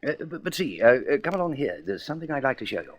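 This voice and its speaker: A man's voice: mid-range voice, very animated, rapid-fire. A man playing a courteous, grave president of a space council in a radio serial, formal and emphatic. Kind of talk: vintage science-fiction radio serial acting.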